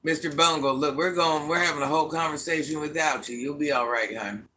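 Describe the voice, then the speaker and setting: A deep-voiced middle-aged woman hosting a casual livestream, reading viewer comments aloud and talking back to them bluntly and with sass. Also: a little fast, bright, forceful tone, slight background noise. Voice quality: deep, smoky voice. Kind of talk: casual live chat.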